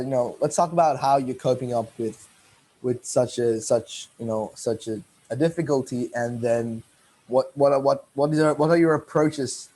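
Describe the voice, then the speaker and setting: A man's voice: mid-range voice, darkish tone, poor audio. A seventeen-year-old boy asks his questions in a loose, rambling way. He restarts and corrects himself often as he talks. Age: teens. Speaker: interviewer. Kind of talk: casual online interview.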